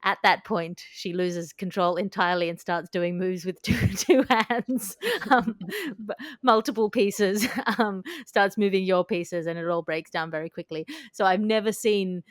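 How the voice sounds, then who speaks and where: A woman's voice: lowish voice, fairly even intonation, unhurried, few pauses, no echo, studio quality. A woman hosting a comedy news podcast, bantering in wry, wordy, mock-grand phrases. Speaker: podcast host.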